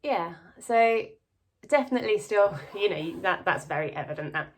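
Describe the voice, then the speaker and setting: A woman's voice: mid-range voice, animated, normal speed. A woman with a slight lisp, chatting casually in a diary vlog, with many ums and restarts. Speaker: vlogger. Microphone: camera close-up.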